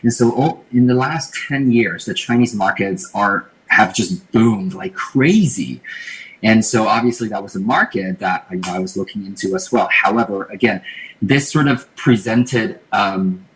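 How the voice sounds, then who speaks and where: A man's voice: fairly low pitch, normal speed, a bit bright, poor audio. A man from Taiwan, a podcast guest, explaining his plans in a thoughtful, casual way and often repeating words as he thinks. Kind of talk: casual interview talk.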